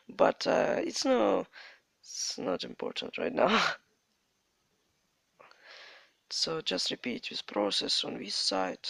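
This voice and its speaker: A man from Ukraine with a Ukrainian accent, a native Ukrainian speaker, talking viewers through a game build step by step in halting non-native English. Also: high voice, gravelly, sharp tone, slightly rough, dry recording. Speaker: game tutorial creator. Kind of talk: step-by-step gameplay tutorial narration.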